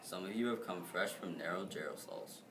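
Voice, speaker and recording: Teen male student reader, deep register, noisy recording. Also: moderate pace. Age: teenager. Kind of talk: reading a famous speech aloud. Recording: clear background noise.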